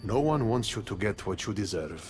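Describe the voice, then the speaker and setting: An actor playing a smug, suavely mocking god of the underworld in a video game, sardonic and theatrical. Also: male voice, lowish voice, animated, moderate pace, darkish tone, soft background music, lightly acted. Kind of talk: dramatic video game acting.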